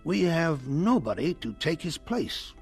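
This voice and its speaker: A man acting a self-assured older college president in an audio drama, ponderous and hesitant, with a gravelly voice. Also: fairly high pitch, sing-song, unhurried, soft background music, full character voice. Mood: dictating, regretful. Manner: hesitant, ponderous, hemming and hawing.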